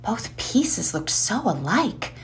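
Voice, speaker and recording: A woman reading scripted story narration with expressive, measured phrasing. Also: medium pitch, a little hiss or hum.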